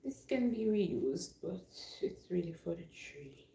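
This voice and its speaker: A woman's voice: lowish voice, expressive, unhurried, dark tone. Playful lady rambling to her livestream, super casual, echoey room at home. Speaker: livestream host. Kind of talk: casual livestream chat to viewers.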